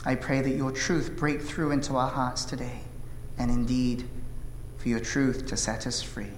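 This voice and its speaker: Earnest Korean-born pastor in echoey hall. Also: male voice, medium pitch, little pitch movement. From South Korea. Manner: earnest, measured, frequent "uh" fillers. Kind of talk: sermon with opening prayer. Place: church sanctuary. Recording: echoey hall reverb, faint room noise.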